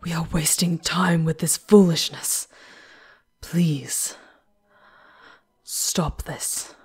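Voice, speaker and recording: A woman voicing an ancient, caring female demon guide in an ASMR fantasy roleplay, speaking formally and softly, with a breathy, theatrical delivery. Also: sits low, fairly even intonation, drawn-out words, exaggerated voice. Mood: alarmed, pleading. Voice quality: soft, breathy. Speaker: voice performer in audio roleplay.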